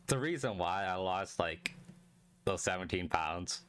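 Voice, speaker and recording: A young man streaming a casual solo chat, calm and low-energy, talking close to the mic and rambling with many restarts. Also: measured pace. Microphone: close desk mic.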